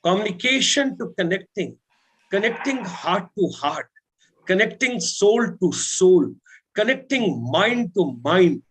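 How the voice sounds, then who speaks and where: Male trainer on a webinar panel, a touch high-pitched, repeats phrases for emphasis. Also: very animated, unhurried, fairly bright tone, husky, poor audio. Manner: spells out names letter by letter, repeats phrases for effect. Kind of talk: motivational panel talk.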